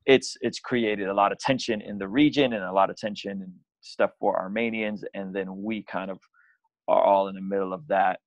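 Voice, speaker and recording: A young man from Azerbaijan talks casually as a podcast guest. His voice sits low and the sound is dry and close. He rambles, restarts sentences and keeps filling gaps with "you know" and "like.". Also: steady tone, moderate pace, no room sound. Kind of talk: casual interview chat.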